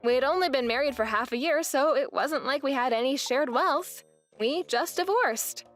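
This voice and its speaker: A woman's voice: sits high, normal speed, a bit bright, faint music behind. A young woman playing an indignant, resolute wife who narrates her story expressively in a manga dub. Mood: matter-of-fact.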